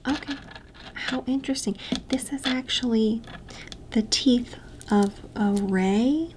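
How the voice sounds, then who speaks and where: A woman doing a soft-spoken ASMR roleplay, mostly whispering and talking gently. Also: a little high, takes it slowly, background noise. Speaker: ASMR creator. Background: tapping and clicking sounds.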